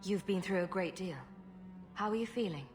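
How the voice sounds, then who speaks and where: Game lead: compassionate adventurer woman, calm and firm, middle register, faint music, played by a woman. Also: mid-range voice, moderate pace, close to an everyday voice. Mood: kind, firm. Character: composed, compassionate adventurer heroine, mistress of the house.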